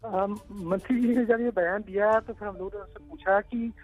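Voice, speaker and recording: Guy reporter live on phone line, high voice, unscripted explaining, slightly hesitant. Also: fairly even intonation, moderate pace, faint music behind. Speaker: news reporter, correspondent. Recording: thin phone-like live link, dry.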